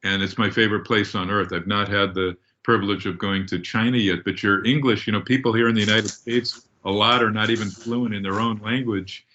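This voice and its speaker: A man's voice: on the low side, fairly even intonation, fairly quick, few pauses, sharp tone, highs cut off, a little hiss or hum. A man co-hosting a podcast, asking long, rambling questions. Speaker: podcast co-host. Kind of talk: interview questions.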